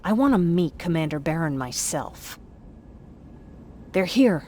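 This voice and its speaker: A woman's voice: on the low side, big pitch swings, a little slow, dark tone, slight background noise. A woman playing a feisty, protective young scavenger and older sister in a game, blunt and challenging. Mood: subdued, candid.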